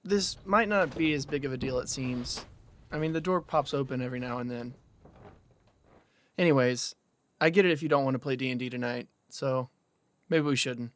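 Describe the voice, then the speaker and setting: A man's voice: mid-range voice, normal speed, a bit bright, slight background noise, natural voice. An actor playing a persuasive, easily exasperated young man in casual, naturalistic audio drama dialogue.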